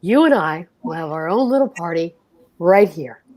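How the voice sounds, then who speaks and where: Bumbling good-natured husband played by a woman, stammers, lively pitch. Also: normal speed. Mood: easygoing. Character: easygoing bumbling husband George. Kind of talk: amateur old-time radio acting.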